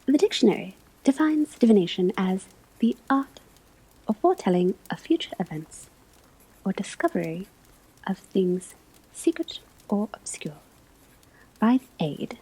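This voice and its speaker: Here is a scholarly witch professor, acted by a woman, giving an ASMR classroom lecture. The voice is very breathy and soft, often slipping into a whisper, with a mellow tone. The delivery is slow, formal and deliberate, patient with a gently stern edge. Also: medium pitch, heavily acted, low-quality recording.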